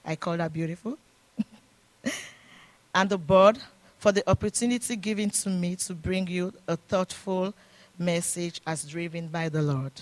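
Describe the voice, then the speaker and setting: A woman's voice: on the low side, a little slow, long pauses. An older woman with a foreign accent, a grandmother and guest speaker, praying fervently and preaching at a church service. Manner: fervent, repetitive prayer invocations. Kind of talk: prayer and sermon. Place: church sanctuary. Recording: echoey church room with music.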